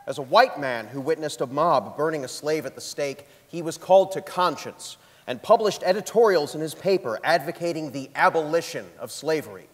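A man's voice: on the high side, fairly quick, hardly any pauses, big-room sound. A man reading scripted dramatic narration on a theatre stage.

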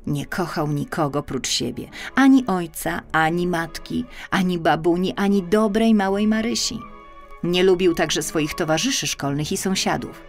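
A woman reading a scripted children's story as an audiobook narrator, with expressive, deliberate phrasing. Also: lowish voice. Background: music.

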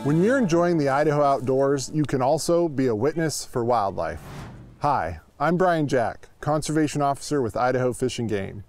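American man, lively narration over music. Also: mid-range voice, normal speed. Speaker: conservation officer, presenter. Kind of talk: scripted instructional narration.

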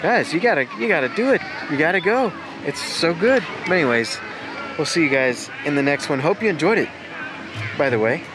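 A man's voice: on the high side, lively intonation, a little fast, music in the background, crowd noise. A man in his forties, host of a food vlog, chatting eagerly as he eats at a restaurant with the camera held close. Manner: enthusiastic, chatty, narrates while eating. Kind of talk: casual food vlog chat. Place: indoor restaurant, then outdoor beach. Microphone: handheld camera held close.

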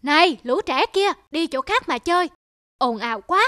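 A man's voice: very high voice, expressive, moderate pace, pushed and bright, no echo, studio quality, heavily acted. A man playing a grumpy, fussy old shopkeeper in a cartoon, scolding and grumbling. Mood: irritated scolding. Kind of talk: cartoon character acting. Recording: music under the voice.

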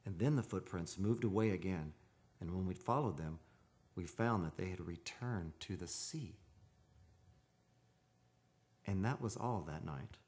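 An actor playing an earnest, haunted man of seafaring stock in an old-time radio drama, speaking in a solemn, measured, poetic way. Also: low-pitched, a little mellow. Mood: hushed, solemn. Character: earnest, haunted seafarers' descendant telling his eerie tale. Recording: live radio studio with music behind.

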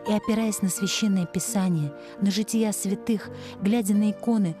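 Woman, reporter, scripted narration, medium pitch, clear music underneath. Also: fairly even intonation, moderate pace.

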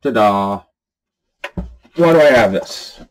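Deep-voiced man chatting to camera. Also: animated, slow. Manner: rambling, many fillers, earnest. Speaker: channel host. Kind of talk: casual talk to camera. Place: small room with bookshelves and dark curtain.

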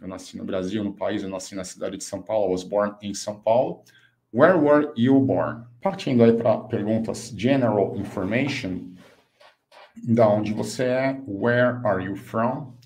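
A man in his fifties with a Brazilian accent, a native Portuguese speaker, teaching an online English lesson and slowly enunciating example phrases. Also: fairly low pitch, darkish tone, low-quality recording. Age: 50s. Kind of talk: online language lesson, explaining and modelling phrases.

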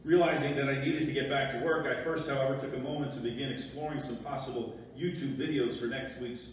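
An American man preaching a sermon as a pastor, speaking in a measured way with rhetorical questions and emphatic pauses. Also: medium pitch, steady tone. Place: church sanctuary. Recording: very echoey church, distant mic, background noise.

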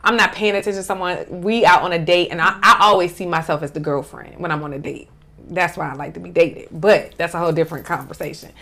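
A woman's voice: on the low side, fast. Emphatic woman talk show host. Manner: emphatic, animated, repeats "absolutely". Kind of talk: casual panel chat. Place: small bright studio set.

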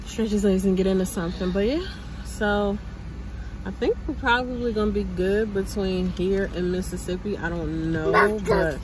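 Casual vlogger, American woman in her thirties, medium pitch, folksy and informal, phone recording with heavy vehicle noise. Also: normal speed. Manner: informal, folksy, addressing viewers as y'all. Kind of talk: casual vlog chat. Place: inside a moving car, also hotel room and house. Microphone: handheld phone at arm's length. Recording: noisy phone recording with car and road noise. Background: loud car and road noise.

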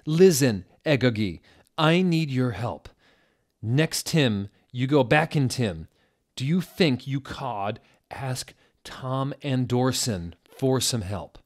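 A depressed goth woman professor, acted out by a male reader in a parody story reading. She sounds plaintive and weepy, confiding in halting phrases at a slow pace. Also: medium pitch, animated, voice pushed up. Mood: urgent.

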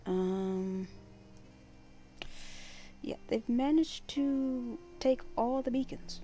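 Slow-paced, high-voiced woman gamer. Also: mellow, soft background music. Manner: playful, giggly, chatty. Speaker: gaming livestreamer. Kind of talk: casual live game commentary.

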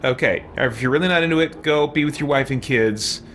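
A middle-aged man streaming a video game with casual commentary. His medium-pitched voice muses and thinks aloud, with faint game ambience behind it. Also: fairly quick, fairly bright tone, a little hiss or hum. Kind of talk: casual let's play commentary. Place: room with shelves at home.

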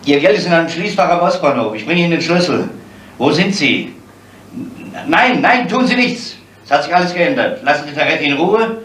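An actor playing a gruff, bitter and driven police commissioner in a 1970s crime radio drama, grumbling and urgent. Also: male voice, on the high side, expressive, brisk, a bit bright, a little hiss or hum, low-quality recording.

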